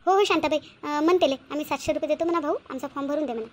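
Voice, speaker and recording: A woman puts on an eager, gullible village housewife for a cartoon moral story. The pitch is extremely high and the chatter races along. She speaks colloquial Marathi with a rural Vidarbha accent. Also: rapid-fire, lightly acted. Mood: eager, trusting.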